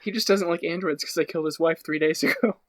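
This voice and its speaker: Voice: medium pitch, fast talker, no room sound. A young adult podcast co-host improvising and bantering casually with friends.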